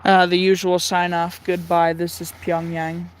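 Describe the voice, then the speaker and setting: A man's voice: high-pitched, monotone, a little slow. Chatty man talking over radio hiss. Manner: chatty, thinks aloud, many fillers. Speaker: livestream host tuning a shortwave radio. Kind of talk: casual live commentary.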